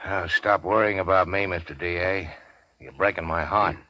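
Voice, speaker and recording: An actor playing a wounded, cynical gangster in 1940s radio crime drama, terse, slangy and sardonic. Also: male voice, low-pitched, fairly even intonation, moderate pace, no room sound, highs cut off, natural voice. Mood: dry, scheming.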